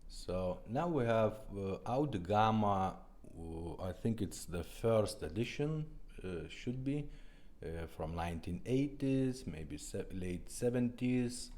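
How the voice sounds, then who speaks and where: Low, mellow-voiced man demonstrating a product. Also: animated, slow, few pauses. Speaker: car radio restorer, presenter.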